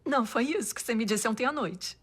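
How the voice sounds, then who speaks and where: A woman's voice: on the high side, expressive, fast, fairly bright tone, a little hiss or hum. A Brazilian woman playing a sardonic, teasing female vampire in a cartoon, with a flippant manner.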